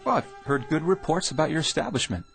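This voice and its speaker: An actor playing a bewildered, agitated young engineer in a radio drama, speaking haltingly but insistently. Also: male voice, on the high side, expressive, gravelly, fairly bright tone, faint music behind. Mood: awkward, halting. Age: young adult.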